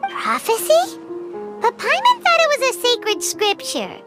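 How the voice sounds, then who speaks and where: A woman voicing a tiny, childlike, curious fairy companion in a game, with a squeaky way of blurting things out. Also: huge pitch swings, a little slow, exaggerated voice. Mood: puzzled. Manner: speaks of herself in third person, squeaky. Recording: loud game music behind.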